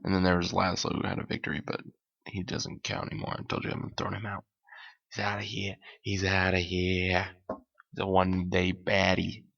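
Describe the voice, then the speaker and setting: Hoarse, deep-voiced man hosting a podcast. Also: completely flat delivery, normal speed, fairly bright tone, lo-fi sound. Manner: rambling and self-correcting, with light jokes. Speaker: podcast host. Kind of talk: casual solo podcast talk.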